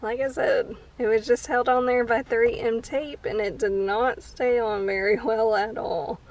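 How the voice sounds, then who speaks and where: An American woman with a fairly high voice talks through a hands-on how-to in a casual way. She takes her time, speaking slowly. There is some background noise around her in the open vehicle. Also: fairly even intonation, rough recording. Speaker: vlogger. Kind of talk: casual how-to vlog narration. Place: inside an open-top parked vehicle.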